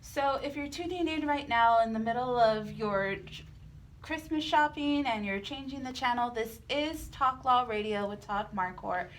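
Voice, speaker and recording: A woman's voice: a little high, moderate pace. A woman hosting a talk radio interview, addressing guests and listeners. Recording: clear background room noise.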